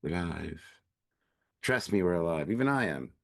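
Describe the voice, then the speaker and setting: A man co-hosting a live review show, laid-back and halting, his voice raspy from a cold. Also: low voice, expressive, no room sound, studio quality. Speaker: co-host. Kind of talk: live-stream review chat.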